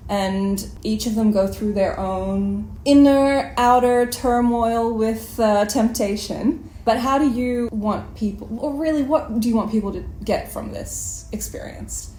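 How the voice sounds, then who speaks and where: A woman's voice: fairly high pitch, unhurried, few pauses, mellow. Young woman in her 20s interviewing people, chatty and playful, echoey room with a bit of noise. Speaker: podcast host and interviewer. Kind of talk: conversational interview.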